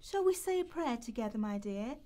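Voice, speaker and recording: A woman's voice: a little high, expressive, a little fast, dark tone, close to an everyday voice. Woman acting a soothing, pious charity volunteer. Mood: devout. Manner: soothing, genteel, devout. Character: kindly, pious female food bank volunteer. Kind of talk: amateur radio play rehearsal.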